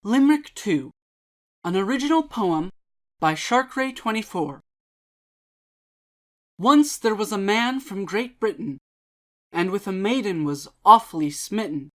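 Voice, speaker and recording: A woman giving a dramatic reading of poetry and prose in a measured, deliberate, theatrical way. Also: mid-range voice, animated. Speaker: channel host and reader.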